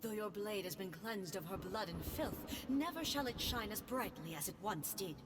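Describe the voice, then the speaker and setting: A woman voicing a stern, regal goddess ruler in a video game, solemn, measured and imperious. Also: medium pitch, lively intonation, background noise, lightly acted. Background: loud game music.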